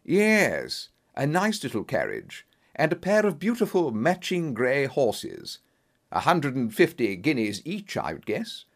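A man voicing a confident, observant gentleman detective in a storybook, with an assured, brisk and dry manner. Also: medium pitch, wildly swooping pitch, heavily acted. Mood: observant, pleased.

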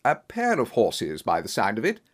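A man voicing a confident, observant gentleman detective in a storybook, with an assured, brisk and dry manner. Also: lowish voice, huge pitch swings. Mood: observant, pleased.

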